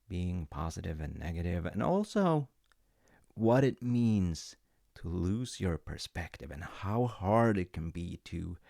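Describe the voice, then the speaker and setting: A man telling a gentle, rambling story as a comforting dad, with self-mocking humor, close to the mic. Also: low voice, vocal fry, dry recording. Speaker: audio roleplay storyteller playing a dad.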